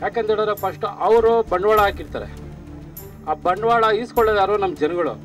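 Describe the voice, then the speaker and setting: Male vox-pop speaker, quick and high-voiced, arguing with rhetorical questions. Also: music in the background. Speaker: member of public interviewed. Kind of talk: street interview opinion.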